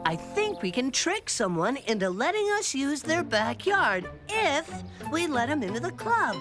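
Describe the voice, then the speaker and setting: Sneaky lil cartoon boy ringleader, slow and swoopy, declaims stuff, music behind. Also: high-pitched, very animated. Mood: scheming. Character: scheming, self-important little boy, clubhouse leader. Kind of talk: cartoon voice acting.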